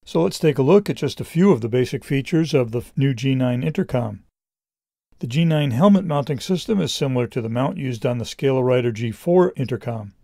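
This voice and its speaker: Man, mellow tone, scripted review narration, measured explanatory delivery, medium pitch, dry sound. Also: lively intonation, creaky, dry recording. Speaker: product reviewer.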